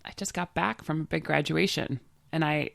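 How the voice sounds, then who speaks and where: A middle-aged woman co-hosting a podcast in casual, chatty talk, her voice stuffed up and nasal from a cold. There is a gravelly fry under her words. The sound is dry and close, with no room behind her. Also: low-pitched, normal speed, no room sound. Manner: chatty, enthusiastic, many fillers. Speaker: podcast co-host.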